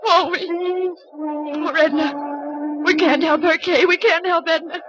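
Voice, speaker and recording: A woman's voice: very high-pitched, fairly even intonation, measured pace, slight background noise. A woman playing a distraught, unhinged young woman in an old-time radio horror drama, pleading tearfully and repeating herself. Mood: feverish, coaxing. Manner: repetitive, singsong, tearful pleading. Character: distraught young woman, unhinged, calling for her lost friend. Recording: dull vintage radio recording with loud music.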